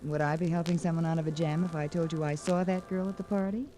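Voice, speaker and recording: Woman playing low, mellow-voiced flirtatious socialite. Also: fairly even intonation, quick pace, close to an everyday voice. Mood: curious, earnest. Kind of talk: 1940s radio drama acting. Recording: noisy vintage 1940s radio recording.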